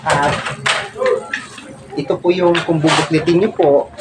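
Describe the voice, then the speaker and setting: Higher-voiced Filipino man, very noisy recording. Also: fairly even intonation, a little slow. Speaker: vlogger showing a personal collection. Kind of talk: informal show-and-tell to camera. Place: small cluttered room at home. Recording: very noisy home phone recording.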